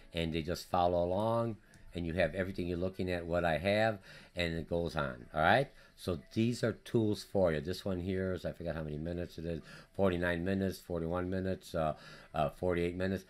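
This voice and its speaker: Low-voiced male business teacher with a Polish accent, rushing through a recorded course update. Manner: hurried, energetic, repetitive with many fillers. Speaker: college business instructor.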